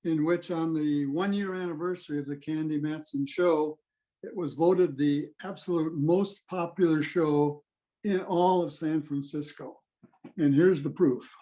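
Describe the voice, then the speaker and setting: A man's voice: fairly high pitch, fairly even intonation, measured pace, warm tone. A man presenting at a club meeting on a video call, informally introducing clips. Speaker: presenter at an old-time radio club meeting. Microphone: video call microphone.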